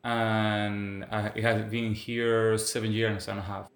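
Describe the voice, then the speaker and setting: A man's voice: on the low side, flat delivery. Venezuelan man with a Spanish accent, echoey. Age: 30s. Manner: halting, restarts phrases, laughs often. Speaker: guest evangelist. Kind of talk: casual interview.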